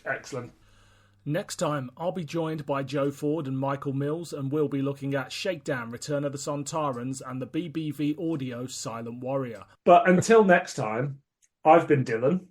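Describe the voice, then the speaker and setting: A man's voice: mid-range voice, moderate pace. Man, mid-range voice, podcast host, casual conversational review chat.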